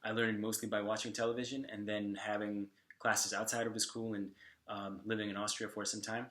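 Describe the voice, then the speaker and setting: A young man whose first language is Slovak talks casually to his viewers in a slightly low voice. He pauses often, restarts his sentences and fills the gaps with "um" and "uh". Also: level tone, fairly bright tone. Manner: halting, with many fillers and restarts. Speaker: vlogger. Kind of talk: casual talk to camera.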